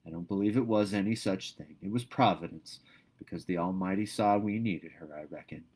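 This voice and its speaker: Man playing a low, muttering old farmer. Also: a little mellow, slightly rough. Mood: quietly proud. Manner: muttering, folksy, slow to boast. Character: shy, proud, tender old country farmer.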